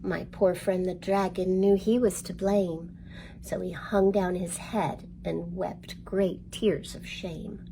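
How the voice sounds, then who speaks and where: A woman leads storytime for young children at a slow, careful pace. Her voice has a noticeable creak, and she speaks expressively in simple, encouraging language. A light layer of background noise sits in the recording. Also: lowish voice, level tone, warm tone, poor audio. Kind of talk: read-aloud storytelling and instruction for young children.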